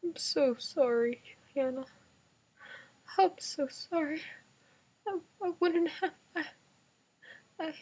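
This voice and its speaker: A woman's voice: high voice, takes it slowly, pauses often, a little mellow, pitched above the performer's own voice, low-quality recording. A woman voicing a frail, volatile old grandfather in a dramatic story reading, given to outbursts and broken pleading. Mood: anguished, pleading. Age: older.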